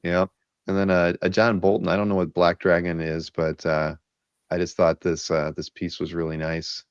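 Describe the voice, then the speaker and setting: A man hosting a casual video-call chat about art, rambling and enthusiastic with lots of fillers, a bit hoarse from a cold. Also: sits low, level tone, normal speed, dry recording, highs cut off. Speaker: podcast host. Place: home office, video call.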